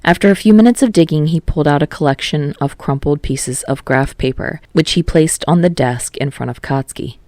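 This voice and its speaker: A woman narrates a story in a low voice. It is an audiobook read, recorded close and dry with no room sound. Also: fairly quick, few pauses, darkish tone, no room sound. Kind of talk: scripted fiction narration.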